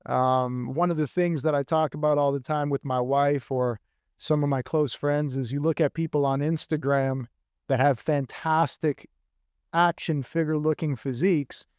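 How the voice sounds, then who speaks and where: A fitness coach on a podcast, speaking with a smooth, resonant tone set a little higher than most men. He answers earnestly, working out his points in measured phrases. The sound is close and dry. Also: steady tone, darkish tone, no room sound.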